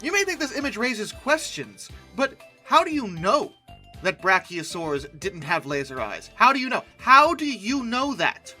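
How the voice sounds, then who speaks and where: Host for comic commentary: lively swooping intonation, bright forceful tone, sarcastic and exasperated, light music underneath. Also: medium pitch, a little slow. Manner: sarcastic, exasperated, mock-incredulous. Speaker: video host. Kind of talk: comic commentary on dinosaur art.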